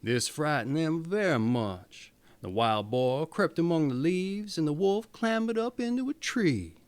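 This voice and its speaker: A male storyteller reads a storybook in a theatrical style. His voice sits a little high for a man and moves through a very lively range of pitch. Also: a little slow, clean tone. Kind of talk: expressive storybook reading.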